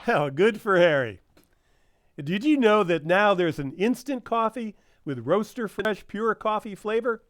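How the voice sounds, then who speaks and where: A man's voice: sits high, lively intonation, unhurried, fairly bright tone. A man working as an announcer, reading in the style of 1950s radio sign-offs and sponsor spots.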